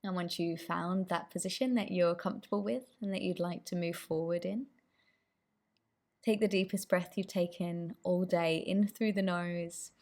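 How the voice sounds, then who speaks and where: A woman leading a guided meditation as the instructor, with gentle, inviting instructions. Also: lowish voice, vocal fry.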